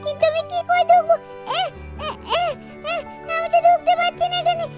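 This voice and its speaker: A little girl ghost with an extremely high, childlike voice. She is innocent and bewildered, calling out again and again in pleading tones. It is doll-cartoon acting with music playing behind. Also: little pitch movement, a little fast, a little mellow. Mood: confused, frightened. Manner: childlike, repetitive, pleading calls.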